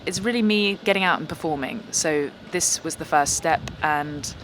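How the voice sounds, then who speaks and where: A young woman with a fairly low voice talks casually through viewer questions in a vlog. She is chatty and self-deprecating, a working guitarist and singer. Faint background noise sits under her voice. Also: normal speed, fairly bright tone, low-quality recording.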